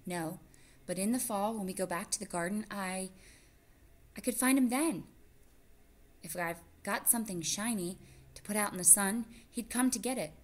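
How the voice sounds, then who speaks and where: A woman's voice: mid-range voice, normal speed, long pauses, voice pushed up, close to an everyday voice. A woman voicing an eager, bold young boy mouse in a storybook, speaking insistently. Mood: excited, pleading.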